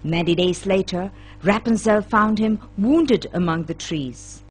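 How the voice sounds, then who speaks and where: A woman's voice: medium pitch, unhurried, background noise. A woman narrating a children's fairy tale as a scripted storyteller.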